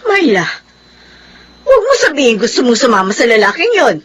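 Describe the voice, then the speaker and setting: Casting: worried Filipina mother for radio melodrama, high, very expressive pitch, pleading and gently admonishing. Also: fairly quick, slight background noise. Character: caring, worried Filipina mother, middle-aged. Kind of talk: Filipino radio drama acting.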